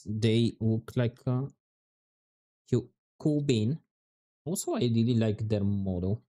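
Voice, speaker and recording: A man streaming a game, chatting casually and musing aloud, his voice turning hoarse and scratchy. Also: mid-range voice. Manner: relaxed, musing aloud, drawn-out words. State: voice going hoarse, scratchy throat. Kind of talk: casual livestream chat while gaming.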